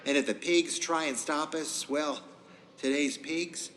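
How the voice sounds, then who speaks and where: An actor playing a groovy, spacey hippie witness in an audio drama, talking in slangy phrases. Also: male voice, on the high side, a little slow, noisy background, close to an everyday voice. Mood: earnest, spacey. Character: groovy, spacey male hippie giving court testimony.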